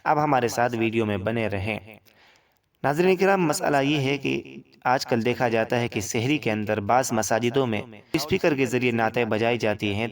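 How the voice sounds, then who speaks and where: A man's voice: on the low side, recorded from a distance. A man formally reading out and explaining religious rulings from a script, addressing viewers directly.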